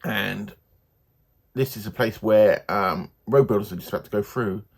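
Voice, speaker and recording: Low, husky man, halting casual talk. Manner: hesitant, halting, rambling. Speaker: book reviewer. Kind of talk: casual unscripted book review.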